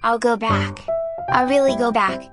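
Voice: medium pitch, moderate pace, natural voice. A performer voicing a shy, earnest teenage boy recruit in an amateur comic dub, hesitant, polite and apologetic. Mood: timid, apologetic. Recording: loud music behind the voice.

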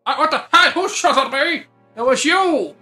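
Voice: sits high, animated, moderate pace, pushed and bright. A performer playing an impulsive, rambling, goofy action hero in an improvised comedy game dub. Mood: alarmed. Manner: rambling, confused, exclaiming. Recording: music behind the voice.

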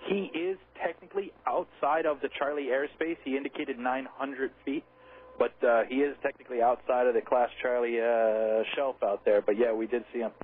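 A man's voice: medium pitch, fairly even intonation, normal speed, slightly rough. A man explaining things as an air traffic controller over aviation radio. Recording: tinny narrowband radio.

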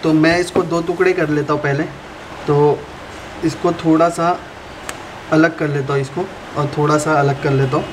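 A man's voice: a little high, fairly even intonation, moderate pace. Chatty young man, roomy noisy recording. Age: young adult. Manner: chatty, explains step by step to viewers. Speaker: motovlogger. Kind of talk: casual how-to tutorial. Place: small room indoors at a desk. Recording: roomy with clear background noise.

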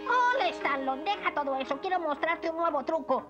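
A woman with a Latin American accent voicing a small, eager, clumsy male wizard in a cartoon dub, excitable and showy. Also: extremely high pitch, moderate pace, highs cut off. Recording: old cartoon dub under loud music.

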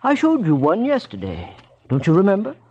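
An actor playing a timid, fussy middle-aged office worker, hesitant and prim, in old-time radio drama style. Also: male voice, high-pitched, wildly swooping pitch, fairly quick, darkish tone, husky, full character voice. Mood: flustered, pleading. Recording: muffled old radio recording.

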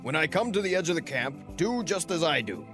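A man's voice: fairly high pitch, very animated, fairly quick, music in the background. A man playing a commanding army leader in a dramatized audio Bible, urgent and declamatory as he rallies his warriors.

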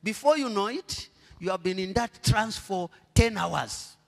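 High-voiced Zambian pastor preaching. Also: male voice, animated, vocal fry, big-room sound. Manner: preaching with call-and-response questions to the congregation; swings between low murmurs and loud drawn-out proclamations. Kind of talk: sermon. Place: church stage, indoors. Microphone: handheld mic.

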